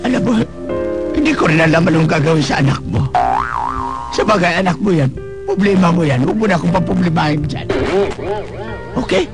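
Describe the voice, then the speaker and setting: Over a loud music bed, an exasperated older father in a Filipino radio drama. His voice sits high and leaps around as he nags with flippant, exaggerated comic timing. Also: expressive, a little fast, pauses often. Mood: complaining.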